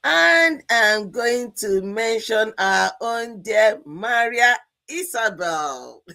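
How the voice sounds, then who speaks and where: Slow, musing woman. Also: on the high side, lively intonation, vocal fry, pushed and bright. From Nigeria. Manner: unhurried, with musing "hmm" and "um" pauses. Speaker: co-host. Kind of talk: live-stream panel chat.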